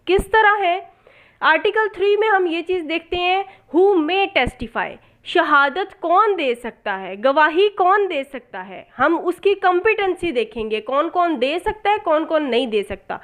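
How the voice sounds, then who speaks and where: A woman with a Pakistani accent giving an explanatory law lecture, mixing in English terms, repeating points and checking in often. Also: sits high. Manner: didactic, repeats and rephrases points with frequent check-ins.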